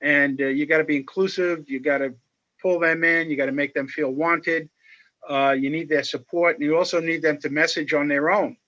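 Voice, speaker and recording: A man speaking as a panelist on a video call, explaining at a measured pace with many fillers. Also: fairly high pitch, fairly even intonation, bright, forceful tone. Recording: muffled video call audio.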